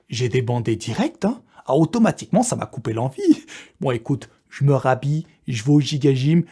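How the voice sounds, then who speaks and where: A man's voice: mid-range voice, darkish tone. A young man chatting casually to his online followers in a slangy, crude, joking manner. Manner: joking, crude, prone to breaking into laughter. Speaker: online video creator.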